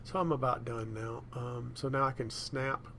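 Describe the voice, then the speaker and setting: A man presenting a software tutorial in a casual, unscripted way, thinking aloud as he goes. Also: medium pitch, steady tone, moderate pace, a little hiss or hum. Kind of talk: casual screencast demonstration.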